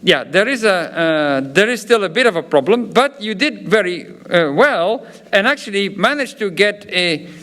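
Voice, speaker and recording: Flemish-accented man, somewhat high voice, hesitant, lots of uh, graduation speech. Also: expressive, moderate pace, fairly bright tone. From Belgium. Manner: hesitant, many filler sounds, chatty asides. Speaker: professor presenting diplomas.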